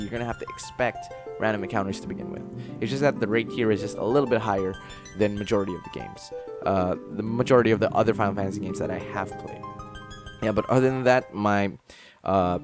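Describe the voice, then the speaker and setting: A young man hosting a game playthrough, talking casually about the game in a rambling way, full of fillers and restarts. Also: mid-range voice. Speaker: let's play host. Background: game music.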